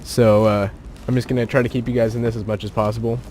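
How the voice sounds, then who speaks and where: A man's voice: mid-range voice, flat delivery, quick pace, darkish tone, slight background noise. A man giving casual gameplay commentary into a desk mic, chatty, laughing often and thinking aloud. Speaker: gaming channel host. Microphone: home desk mic without pop filter.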